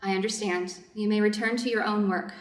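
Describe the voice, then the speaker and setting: A woman's voice: mid-range voice, fairly even intonation, a little fast, close to an everyday voice. A woman playing an anxious, dutiful Japanese doctor's wife and mistress of servants in a staged play reading. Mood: firm. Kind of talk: staged readers' theater acting. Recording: echoey room, distant microphone.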